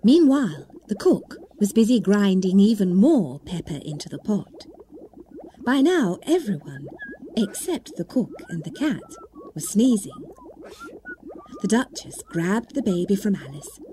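Slow, expressive woman storyteller. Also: mid-range voice, a little hiss or hum. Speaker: storybook narrator. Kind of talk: scripted children's read-along narration. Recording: music bed under the voice. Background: music.

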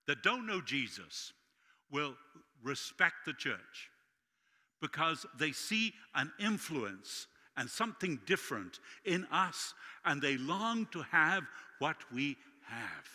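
A middle-aged man from Uruguay with a Spanish accent, preaching an animated sermon with jokes as guest speaker in a large church. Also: a little high. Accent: Latin American Spanish. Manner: animated, gesturing widely while walking the stage. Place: large church sanctuary stage. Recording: long hall reverb.

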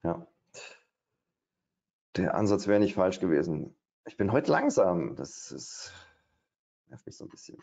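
A man's voice: low-pitched, very animated, unhurried, creaky, pauses often, a little mellow. A middle-aged man doing live trading commentary at his desk, thinking aloud with hesitations and fillers. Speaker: day trader. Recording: dull, lo-fi stream audio.

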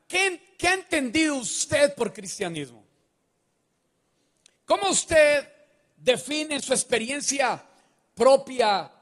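A man's voice: extremely high pitch, a bit bright. A man preaching a sermon on a large church stage into a handheld mic. He speaks emphatically and keeps putting questions to the congregation. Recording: reverberant church hall.

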